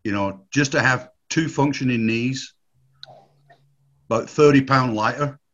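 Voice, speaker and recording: A man's voice: mid-range voice, a little slow, fairly bright tone, muffled recording. A man in his fifties, a former footballer, reminiscing in a rambling, nostalgic way with frequent restarts and laughs. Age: 50s. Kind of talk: casual reminiscing chat.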